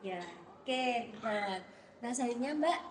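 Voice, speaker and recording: A woman's voice: a little high, lively intonation, measured pace, noisy background. A young woman, a counseling student, leading a group guidance session in a classroom, friendly and encouraging with many fillers. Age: young adult.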